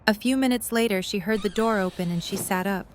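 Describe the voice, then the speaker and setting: A woman's voice: medium pitch, fairly quick, slight background noise. A woman reading scripted narration for an audio drama as its storyteller.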